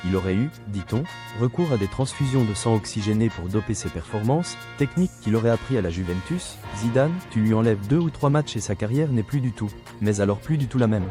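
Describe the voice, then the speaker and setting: A man's voice: lowish voice, lively intonation, a little fast, hardly any pauses, music in the background. A middle-aged man reading a combative, sarcastic rebuttal monologue, lecturing a younger critic. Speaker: video commentator.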